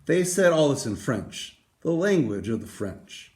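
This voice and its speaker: A man hosting a read-aloud for schoolchildren, speaking in a deliberate storytelling way to young listeners. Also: a little high, sing-song, darkish tone. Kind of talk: expressive children's picture book read-aloud.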